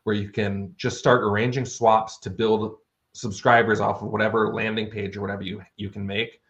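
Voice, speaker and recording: A man's voice: on the low side, level tone, normal speed, fairly bright tone, muffled recording. A man chatting casually as an interview guest, explaining things in an informal, conversational way. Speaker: interview guest, fantasy author.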